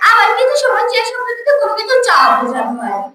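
A woman's voice: very high-pitched, huge pitch swings, racing. A young schoolgirl giving a formal, declamatory, rehearsed debate speech. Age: child or teenage school student. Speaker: school debate first speaker. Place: school debate event, room. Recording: echoey room, distant mic, noisy background.

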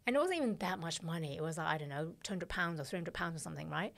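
A woman's voice: fairly low pitch, expressive, very fast, vocal fry. A middle-aged woman, a fashion director and podcast guest, telling stories bluntly and animatedly, swearing freely and acting out the dialogue, on a studio mic in a small podcast studio. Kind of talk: casual interview storytelling. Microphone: studio mic on arm, headphones.

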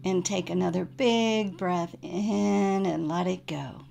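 A woman leads a guided relaxation with very slow, spacious phrasing. Her voice sits a little low and has a noticeable creak. She gives each gentle, soothing instruction room to settle before moving on. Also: steady tone, lo-fi sound, over music. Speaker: yoga nidra meditation guide.

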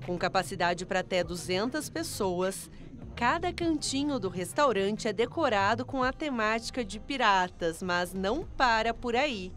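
Female TV reporter, scripted feature narration over soft music, medium pitch. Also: expressive, unhurried. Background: background music.

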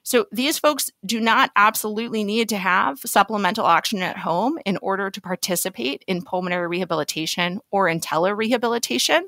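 A woman speaking as an expert medical guest in an interview, explaining in an articulate, measured way. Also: mid-range voice, a bit bright, no echo.

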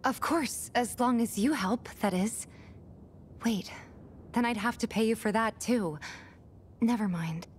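An actress voicing a caring young woman who runs a bar in a video game, speaking in a gentle, slightly flustered way. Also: a little high, measured pace, pauses often, slight background noise, natural voice. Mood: friendly.

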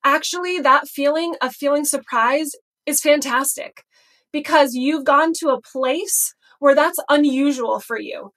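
A woman's voice: high voice, measured pace, a bit bright. A woman in her thirties, an encouraging life coach co-host, talking on a home live stream. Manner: encouraging, reassuring. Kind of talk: casual live-stream talk. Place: home office room.

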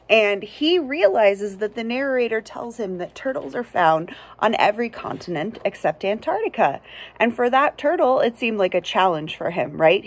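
A young woman reading a picture book to children as a librarian, explaining simply and checking in with her listeners. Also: medium pitch. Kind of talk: children's read-aloud with asides. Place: outdoors under trees by a house.

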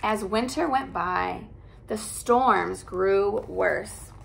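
Slow gravelly woman reading a storybook. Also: medium pitch, a little hiss or hum. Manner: soft, cozy storytelling. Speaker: teacher reading aloud. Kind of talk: picture-book read-aloud. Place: living room by a fireplace.

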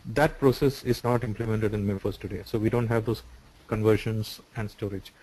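A man on a video call who explains software features step by step, with frequent "uh" and "so". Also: on the low side, steady tone, normal speed, breathy edge, rough recording. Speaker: software developer answering questions.

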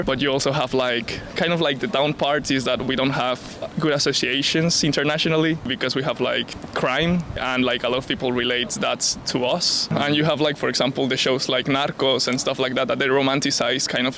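A man's voice: mid-range voice, fairly even intonation, fairly quick, few pauses, a bit bright, a little hiss or hum. A young man from Mexico answering street interview questions outdoors into a handheld mic, thoughtful and full of fillers. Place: outdoor city plaza. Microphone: handheld mic held by interviewer.

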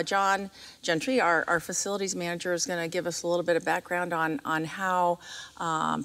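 Interviewer: American woman, bright clear-cut tone, halting, chatty delivery on camera. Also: lowish voice. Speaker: interviewer, school district staff member. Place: large theatre stage, indoors.

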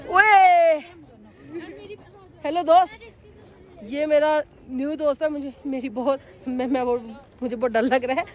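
A young man from Pakistan, vlogging casually on a phone held at arm's length outdoors, excitable and quick to squeal and shout when startled. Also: very high-pitched. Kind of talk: casual travel vlog narration. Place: outdoors on a mountain roadside. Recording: outdoor phone audio with crowd chatter.

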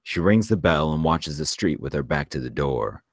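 A man's voice: a bass voice, animated, moderate pace, husky, no room sound, studio quality. A man reading screenplay stage directions as narrator at a table read.

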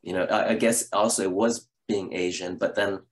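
A man in his fifties from the Bay Area, a Zen priest speaking on a video call in a halting way, with many restarts. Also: low voice, steady tone. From California, Bay Area. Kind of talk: reflective conversation. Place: room at home.

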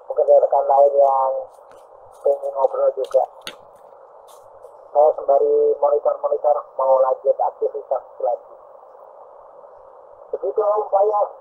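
A man's voice: medium pitch, level tone, slow pace, long pauses. A man chatting casually as a CB operator, heard through a two-way radio. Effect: two-way radio transmission. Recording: narrow, noisy CB radio reception.

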